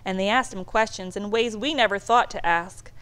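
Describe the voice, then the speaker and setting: A woman narrates a children's book aloud. Her voice sits at a medium pitch, and she tells the story in a measured, expressive way. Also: smooth. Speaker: storybook reader.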